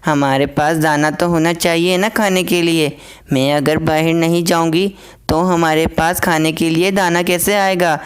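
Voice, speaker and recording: A dutiful little girl bird from a cartoon fable, played by a woman, talks in a clear, smooth voice. She sounds earnest and full of questions. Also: deep voice, steady tone, measured pace. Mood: curious, concerned. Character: dutiful, curious young girl sparrow.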